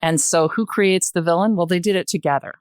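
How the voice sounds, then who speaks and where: An older woman with a Canadian accent, a guest speaking reflectively in a podcast interview, hesitant and thoughtful, often pausing mid-sentence. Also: fairly low pitch, no room sound. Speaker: podcast guest, retired journalist.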